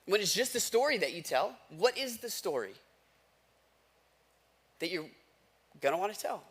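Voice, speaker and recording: A man's voice: sits high, big pitch swings, moderate pace, slightly rough. An American man preaching as a pastor in a conversational, emphatic way, repeating phrases and asking rhetorical questions.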